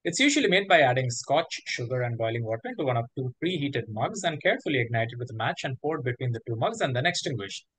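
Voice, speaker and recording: A man works as quiz master, reading questions and judging answers. His pitch moves in a lively way, his voice is a little husky, and the sound is dry and close. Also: quick pace, few pauses, no room sound, poor audio.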